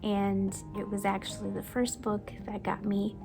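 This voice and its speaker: A young woman from Brazil whose first language is Portuguese, chatting gently in a casual book review vlog and sometimes dropping to a soft whisper. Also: mid-range voice, monotone, a little slow, creaky. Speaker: book vlogger. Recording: music playing behind the voice.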